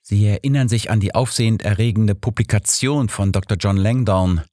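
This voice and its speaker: A man's voice: fairly low pitch, moderate pace, mellow, dry recording, studio quality, pitched above the performer's own voice, natural voice. Man as an earnest older physician. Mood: troubled. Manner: formal, learned. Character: older male physician companion, earnest and troubled. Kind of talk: audiobook character dialogue.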